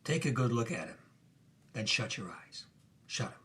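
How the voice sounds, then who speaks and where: A man playing an intense, goading old-Hollywood film director, hushed and urgent, with tough noir-style delivery. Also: fairly low pitch, big pitch swings, moderate pace. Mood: quiet, commanding. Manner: hushed, urgent, conspiratorial whispering.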